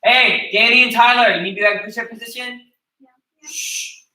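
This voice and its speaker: A man's voice: very high-pitched, fairly even intonation, normal speed, pushed and bright. An American man teaching a taekwondo class, explaining and checking in with his students after every point. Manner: instructive, checks in with "okay?" after each point. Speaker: taekwondo instructor. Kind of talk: teaching a martial arts class. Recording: echoey room recorded from a distance.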